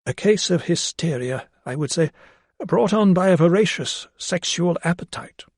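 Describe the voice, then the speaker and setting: A man reading an audiobook, voicing a solemn middle-aged doctor who speaks slowly and gravely. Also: a little high, expressive, darkish tone, no room sound, studio quality, pitched above the performer's own voice. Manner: solemn, slow, measured.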